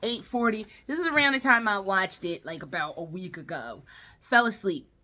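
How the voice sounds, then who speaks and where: A woman's voice: medium pitch, lively intonation, moderate pace, bright, forceful tone. A tired woman giving a casual, rambling review in a vlog, blunt and freely profane as she talks. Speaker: video game and movie vlogger.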